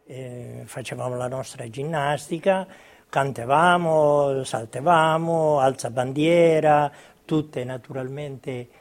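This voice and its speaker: An elderly man from Italian colonial Libya giving spontaneous recorded testimony, recalling his past in a halting way with repeated words. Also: medium pitch. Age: older, over 70. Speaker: witness recalling his childhood.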